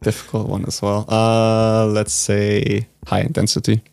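A young man from Flanders with a Flemish accent, Dutch native, answering interview questions in a low-key, hesitant way. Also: monotone, unhurried, vocal fry, a little mellow, clear, resonant tone, no echo, faint music behind. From Belgium (Flanders). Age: young adult. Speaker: guest researcher.

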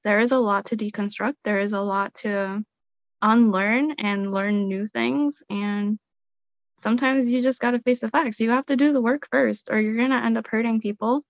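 A woman's voice: on the high side, steady tone, normal speed, dry recording. A woman guest on a podcast, giving firm, matter-of-fact advice. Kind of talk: casual podcast discussion. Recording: dull lo-fi audio.

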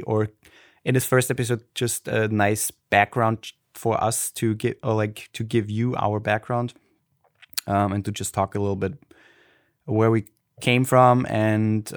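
Austrian man, halting dry-room chat. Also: on the low side, little pitch movement, clean tone, dry recording. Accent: Austrian German. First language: German. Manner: halting, restarts, searching for words. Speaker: podcast co-host. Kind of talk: casual chat.

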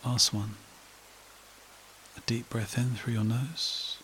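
A man leading a guided meditation and hypnosis in a hushed, soothing, repetitive way with long pauses. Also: mid-range voice, fairly even intonation, slight background noise.

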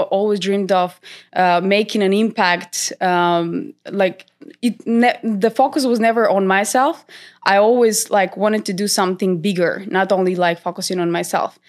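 A young woman from North Macedonia, a guest speaking in a casual interview, unhurried and thoughtful with many fillers and pauses. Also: mid-range voice. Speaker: guest, content creator.

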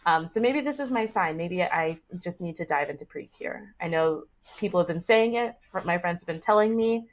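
A woman's voice: lowish voice, moderate pace, hardly any pauses. Chatty, enthusiastic young woman, podcast guest. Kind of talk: casual chat about anime.

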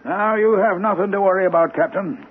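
An actor playing a measured, inquiring male doctor with a husky voice and deliberate questioning, in an old-time radio mystery on a narrow-band recording. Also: high-pitched. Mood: calm, decisive. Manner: deliberate, hesitant, methodical questioning.

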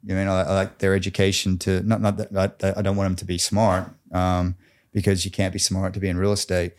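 A man playing a boastful, crude real estate agent of about forty with an American accent, deadpan and halting in an improvised mock interview. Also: deep voice, lightly acted. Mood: smug, crude. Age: around 40. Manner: cocky, deadpan, swearing, halting.

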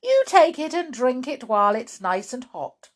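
A woman's voice: sits high, big pitch swings, moderate pace, voice pushed up. Woman acting a sly, mischievous husband. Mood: sweetly coaxing. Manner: sweetly coaxing, amused. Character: sly, gleefully mischievous married man.

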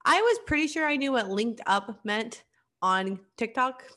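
A woman's voice: on the high side, lively intonation, a little slow, bright, forceful tone. A writer in her thirties from the American Midwest, talking candidly in a casual chat. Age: 30s. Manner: candid, chatty, laughing asides.

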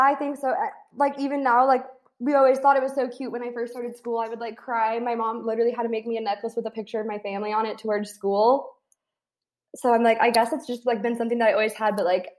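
A woman's voice: fairly high pitch, flat delivery, fast, darkish tone. A woman in her twenties talking candidly in casual chat, with many "like" fillers. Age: 20s.

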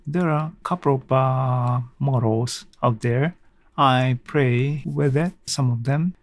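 A man hosting a podcast alone, talking casually and without a script, haltingly thinking aloud. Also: medium pitch, dry recording. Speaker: podcast host. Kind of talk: casual unscripted solo talk.

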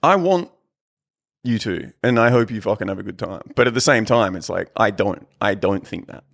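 A man's voice: lowish voice, lively intonation, moderate pace, gravelly. A forty-year-old man in casual chat as a co-host, informal and sweary.

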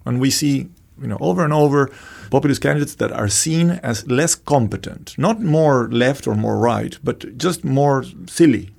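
Gravelly, husky Argentine man explaining. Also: medium pitch, moderate pace, hardly any pauses, darkish tone. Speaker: economics professor, interview guest. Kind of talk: explanatory interview talk.

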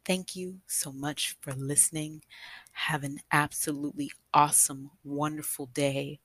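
A woman shares her own story as a featured storyteller, speaking slowly in a close, dry recording. Her voice is husky, and she talks in a reflective, emphatic way, addressing her listeners directly. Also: sits low, level tone, a bit bright, no room sound. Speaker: guest storyteller, nonprofit founder. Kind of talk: personal storytelling to an audience.

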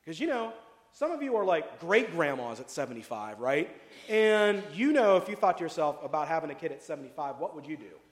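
A pastor preaching a casual sermon, chatty and jokey, full of asides and questions to the congregation. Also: male voice, on the high side, sing-song, fairly quick. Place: church sanctuary. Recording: echoey hall with long reverb.

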